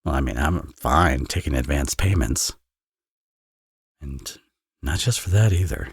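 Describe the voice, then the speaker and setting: A man's voice: rumbling low, lively intonation, measured pace, gravelly, slightly rough, dry recording, clean studio sound, exaggerated voice. A man playing a teasing, flirtatious villain and doting father in an intimate romance audio roleplay. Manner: playful, smug, sarcastic teasing.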